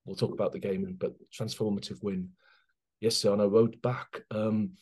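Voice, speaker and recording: A man's voice: on the low side, moderate pace, husky. A middle-aged man hosting a football podcast, chatting casually with frequent ums. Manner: relaxed, chatty, hesitant with ums. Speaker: podcast host.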